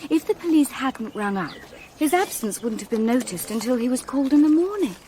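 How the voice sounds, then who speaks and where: Serious, higher-voiced Englishwoman. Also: expressive, brisk, mellow, a little hiss or hum. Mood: composed, matter-of-fact. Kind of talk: radio drama acting.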